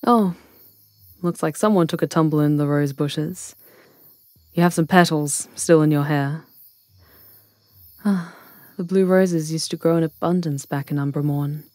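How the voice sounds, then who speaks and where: A woman voicing an ancient, wry and wise witch in a fantasy ASMR roleplay, with measured, intimate, theatrical delivery. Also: deep voice, steady tone, a little mellow, dry recording, studio quality. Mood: teasing, fond.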